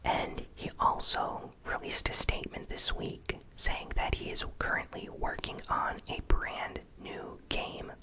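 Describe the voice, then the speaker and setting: A host whispering a casual, conversational ASMR gaming news recap in a breathy, unvoiced whisper. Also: unhurried, few pauses, poor audio.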